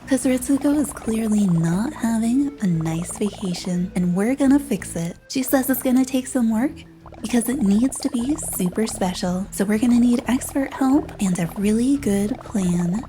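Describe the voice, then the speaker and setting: A woman's voice: fairly high pitch, expressive, moderate pace, warm tone, faint music behind. A woman narrating a scripted video game walkthrough as the host of a guide.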